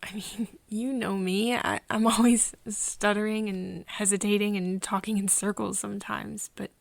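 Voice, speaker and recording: A woman in an ASMR roleplay playing a nervous, caring friend, speaking softly and haltingly and often slipping into breathy whispers. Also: medium pitch, flat delivery, dry recording, natural voice. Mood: anxious, confessional. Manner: hesitant, halting, with pauses and sighs. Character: nervous, caring young woman confiding in her vampire friend. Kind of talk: ASMR roleplay, intimate scripted acting. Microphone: close microphone, dry room.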